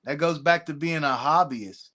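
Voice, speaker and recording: A man's voice: on the high side, normal speed, a crowd in the background. A man who wrestles, a podcast guest, talking casually and emphatically, peppering his talk with "bro.". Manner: emphatic, agreeing, slangy "bro". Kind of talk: casual chat.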